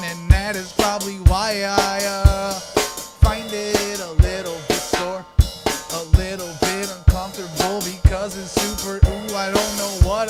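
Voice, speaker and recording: A loose, playful freestyle rapper who half-sings rhyming lines in an easy middle register. Loud live drums and band music pound the whole way behind the voice, as in a live jam stream. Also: mid-range voice. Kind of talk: freestyle rapping and half-singing over live looped music.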